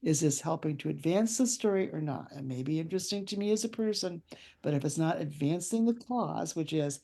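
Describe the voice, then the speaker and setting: An older woman, an author being interviewed, speaking in a thoughtful, anecdotal way with measured phrasing. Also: sits low, lively intonation, dark tone. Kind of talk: reflective conversational interview.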